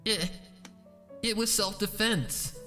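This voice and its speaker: Man playing plain-spoken earnest female pony, storybook character, hesitant, higher pitch, faint music. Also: slow pace, bright, forceful tone, heavily acted. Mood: defensive.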